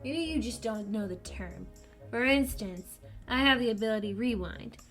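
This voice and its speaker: A woman's voice: on the high side, expressive, moderate pace. A woman voicing a confident, teasing young schoolboy with superpowers, upbeat and friendly, with faint music behind. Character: cocky, cheerful young schoolboy with powers.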